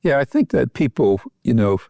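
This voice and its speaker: A man's voice: mid-range voice, very animated, normal speed, creaky, dark tone, dry recording, studio quality. A man speaking as an expert guest in a podcast interview, explaining earnestly and repeating key phrases. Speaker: expert guest, physician researcher.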